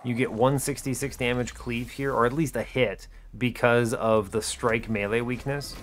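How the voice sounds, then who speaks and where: A man's voice: mid-range voice, fairly even intonation, moderate pace, slight background noise. A man hosting a gaming video, giving casual play-by-play in short, understated, dry remarks. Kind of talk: casual gameplay commentary. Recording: music behind the voice.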